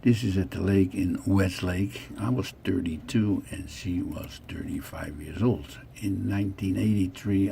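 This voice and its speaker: Deep gravelly Dutch man in his sixties. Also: warm tone, slight background noise. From the Netherlands. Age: 60s. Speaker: narrator of own life story. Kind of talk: personal narration over photo slideshow.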